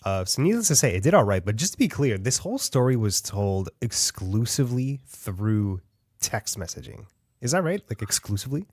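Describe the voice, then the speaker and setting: Low voiced guy hosting a book podcast, chatty, upbeat, no room echo at all. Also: very animated, moderate pace, darkish tone, clean studio sound. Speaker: audiobook podcast host. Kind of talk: casual interview.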